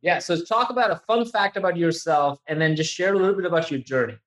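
Play a podcast host, a man whose voice sits a bit higher than most. He talks casually and eagerly, tossing in slang like he's catching up with a friend. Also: fast, a bit bright. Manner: enthusiastic and informal, says "dude". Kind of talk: casual interview chat.

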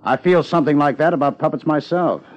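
An actor playing a bookish, drily teasing police lieutenant in a 1950s radio drama, urbane and wordy. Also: male voice, fairly high pitch, fast, lightly acted. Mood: businesslike, dry. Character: wry, bookish, college-educated male police lieutenant, teasing. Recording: muffled, noisy 1950s radio recording.

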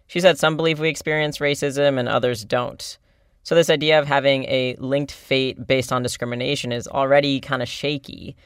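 A male podcast host speaks in an even, explanatory way at a middle pitch. His voice is close and dry, with no room sound around it. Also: normal speed, clean tone, no room sound. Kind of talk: conversational radio reporting.

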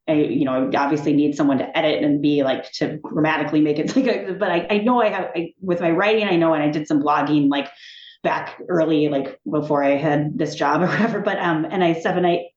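A woman talking as a guest in a casual interview, animated and chatty, often laughing and restarting her sentences. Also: sits low. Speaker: TV executive, podcast guest. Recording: echoey room, dull sound.

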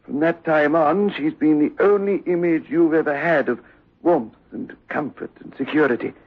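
Commanding asylum doctor, insistent, fairly high husky voice, very muffled vintage radio play. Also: male voice. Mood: confronting. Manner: stern, deliberate, commanding. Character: authoritative, insistent sanatorium doctor, firm and probing. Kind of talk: 1960s radio drama acting. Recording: muffled, lo-fi old radio recording.

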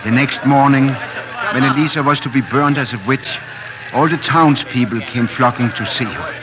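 A man's voice: medium pitch, normal speed, dull audio, heavy background noise. A man narrating a story theatrically and suspensefully in 1940s radio drama style. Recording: old 1940s radio recording with music.

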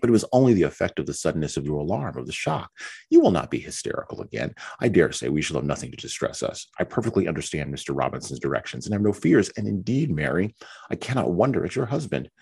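Man doing a calm sensible young woman, soft and reassuring, slightly raised, husky low voice. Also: fast talker, heavily acted. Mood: soothing. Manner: gentle and reassuring.

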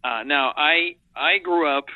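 A man's voice: a little high, a little slow, no room sound. Middle-aged guy, french first language, earnest and reflective, dry tinny phone line. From Europe and Africa. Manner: earnest, reflective, thinks aloud in long sentences. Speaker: guest, animation editor. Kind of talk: casual interview talk.

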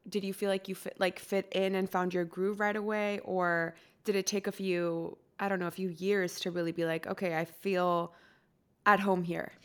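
A woman's voice: lowish voice, normal speed, clean tone. Chatty podcast host woman from Costa Rica. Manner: chatty, animated, laughs easily. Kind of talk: casual podcast interview.

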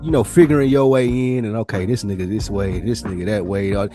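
A man's voice: fairly low pitch, quick pace, warm tone, soft background music. An American man hosting a casual podcast among friends, talking in loose, profane slang. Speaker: podcast host.

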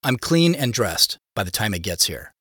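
A man's voice: lowish voice, very animated, normal speed, very noisy. An actor playing a solitary, private man who narrates his own story in an understated, conversational, matter-of-fact way. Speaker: narrator of an audio drama. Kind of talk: first-person scripted narration.

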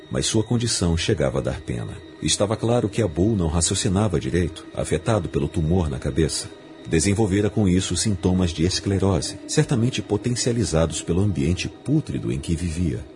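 A man's voice: deep voice, lively intonation, fairly quick, darkish tone, slight background noise. A man reading fantasy fiction as a scripted, dramatic audiobook narrator. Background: music.

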